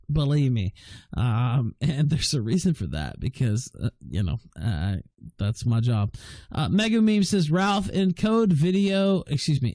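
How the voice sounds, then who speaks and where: A man's voice: on the high side, dark tone, no room sound. A man hosting a livestream interview in an informal, sweary way, reading out viewer questions and chatting casually. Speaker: livestream show host.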